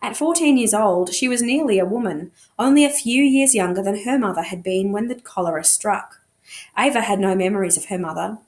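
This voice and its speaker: A woman's voice: mid-range voice, expressive, a little fast, darkish tone. A woman narrating a novel expressively, as a teacher reading aloud to students.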